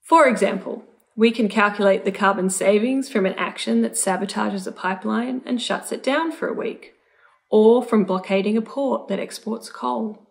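A woman's voice: mid-range voice, normal speed, vocal fry. A woman presenting an art project in scripted, explanatory narration.

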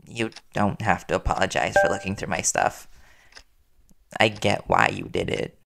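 A man playing a worried, devoted young reporter boyfriend in an intimate audio roleplay, speaking earnestly and soothingly with hesitant pauses. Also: low-pitched, wildly swooping pitch, creaky, a bit bright, a little hiss or hum, heavily acted. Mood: accepting, reassuring.

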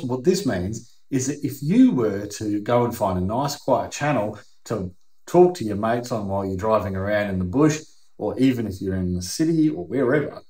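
A man's voice: fairly low pitch, dark tone. A middle-aged Australian man giving a conversational, emphatic, plain-spoken explainer to camera as a video host. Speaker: YouTube host. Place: indoor workshop or garage. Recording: roomy, echoing indoor sound.

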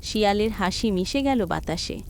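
A woman narrating a scripted cartoon story in a dramatic, measured storytelling manner. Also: medium pitch, expressive, slight background noise.

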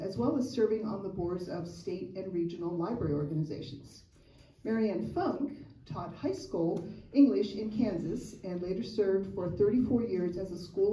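Woman host, dark mellow voice, measured read, echoey and far. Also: medium pitch, a little hiss or hum. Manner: measured, reading from notes. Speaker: event host introducing speakers. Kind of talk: reading an introduction. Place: large community hall, indoors. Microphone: far camera. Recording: roomy hall recorded from far off.

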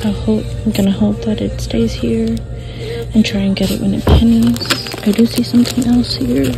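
A woman filming herself shopping on her phone, narrating finds in a hushed, half-whispered voice as she walks the aisles. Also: on the high side, fairly even intonation, normal speed, gravelly, music in the background, low-quality recording. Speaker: shopping vlogger. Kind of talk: casual shop-along narration. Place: inside a discount store aisle. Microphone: phone held in hand.

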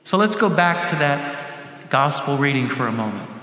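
An older man preaching a sermon as a deacon, speaking deliberately in short phrases with dramatic pauses. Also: a little high, lively intonation, sharp tone. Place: large church sanctuary, at the lectern. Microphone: gooseneck lectern microphone. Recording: echoey church hall with faint background noise.

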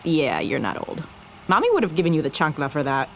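A young, dutiful older sister in an audio drama. Her voice sits a little low for a woman. She talks casually, with a dry, teasing, world-weary edge. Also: wildly swooping pitch, fairly quick, gravelly, fairly bright tone, a little hiss or hum, heavily acted.

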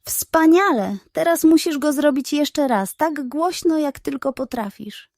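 A woman voicing a sly, scheming, excitable male pug in a children's storybook, with a theatrical, flattering manner. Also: high voice, unhurried, vocal fry, no echo, clean studio sound, voice pushed up, exaggerated voice. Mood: coaxing.

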